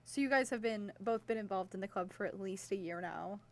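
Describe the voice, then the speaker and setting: A woman's voice: mid-range voice, fairly quick, slight background noise. Young woman hosting student radio. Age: young adult. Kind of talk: casual radio interview.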